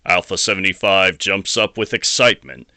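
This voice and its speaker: Deep, forceful male story narrator. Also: animated, normal speed, a little hiss or hum. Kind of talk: scripted dramatic story narration.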